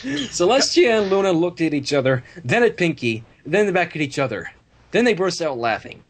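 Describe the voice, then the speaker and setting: A story reader narrating fan fiction aloud with very animated intonation. The voice is mid-pitched and husky, expressive through every sentence. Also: moderate pace.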